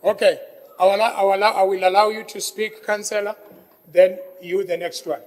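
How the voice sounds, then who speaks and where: A man's voice: high voice, steady tone, normal speed, a little mellow, long reverb tail. A South African man chairing a council meeting, repeating himself as he calls councillors to order. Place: council chamber.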